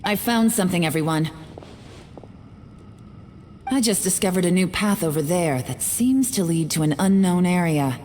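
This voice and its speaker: A woman's voice: on the low side, lively intonation, moderate pace, pauses often, darkish tone, long reverb tail, slight background noise, close to an everyday voice. A woman playing a cool, self-possessed investigator in a video game, observant and understated. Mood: calm, assured.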